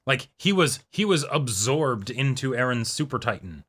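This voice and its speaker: A man's voice: mid-range voice, very animated, moderate pace, fairly bright tone. A man co-hosting a reaction show, chatting casually with a wry, joking manner and tossing in mock quotes.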